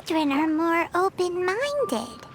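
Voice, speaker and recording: Casting: tiny excitable fairy companion, squeaky and childlike, extremely high, drawn-out delivery, anime game. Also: female voice, full character voice. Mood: puzzled, curious. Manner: exclamatory, talks about self in third person. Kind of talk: anime video game voice acting. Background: game music.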